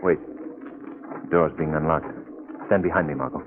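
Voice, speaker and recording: An actor playing a well-spoken, courteous but firm gentleman hero, in crisp 1940s radio style. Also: extremely low pitch, normal speed, noisy background. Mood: wary, composed. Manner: crisp, formal, educated phrasing. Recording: tinny, band-limited 1940s radio recording.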